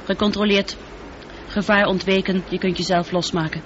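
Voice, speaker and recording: A woman's voice: medium pitch, steady tone, moderate pace, close to an everyday voice. An actress playing a witty, caring female ship's computer in a radio play, precise and composed in delivery. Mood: precise, businesslike. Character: female spaceship computer, calm, witty, caring, easily hurt. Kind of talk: scripted radio play acting. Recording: dull old radio recording, faint noise.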